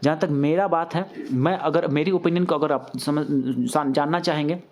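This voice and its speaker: A man's voice: on the high side, moderate pace, slight background noise. A man gives informal news commentary to students as a host, speaking conversationally and mixing in English words. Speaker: news update channel host.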